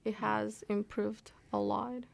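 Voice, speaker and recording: A woman's voice: medium pitch, flat delivery, slow, creaky. A young woman from Colombia with a Colombian Spanish accent, a native Spanish speaker, chatting earnestly as a guest about her charity. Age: young adult. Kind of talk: casual interview chat.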